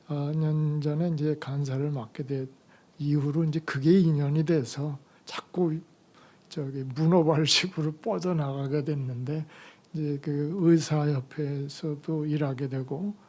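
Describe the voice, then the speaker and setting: An older man lecturing at an academic conference, his voice hoarse from a cold. The tone is mellow and dark. He moves haltingly, pausing on fillers as he gathers each thought. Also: on the high side. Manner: halting, slow delivery with many fillers. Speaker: retired medical professor giving a conference lecture.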